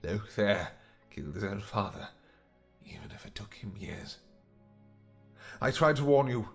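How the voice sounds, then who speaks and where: A man playing a cold, pleading vampire conspirator in a fantasy audio roleplay, urgent, deliberate and menacing. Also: lowish voice, animated, faint music behind. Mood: bitter, insistent.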